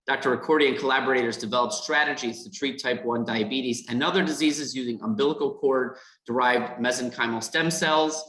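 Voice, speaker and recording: A man's voice: medium pitch, steady tone, brisk, hardly any pauses, fairly bright tone, roomy sound, rough recording. A man hosting a foundation event on a video call, reading prepared remarks with fillers and repeated words. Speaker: foundation host and interviewer. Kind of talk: scripted introduction.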